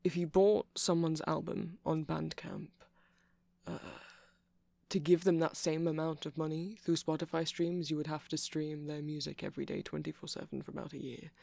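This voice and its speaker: Nerdy, earnest vampire male performer, soft-spoken and chatty ASMR delivery, gravelly fry, very dry sound. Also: a little high, level tone, normal speed, no echo. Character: nerdy, chatty vampire boyfriend who rambles about music. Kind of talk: ASMR roleplay ramble.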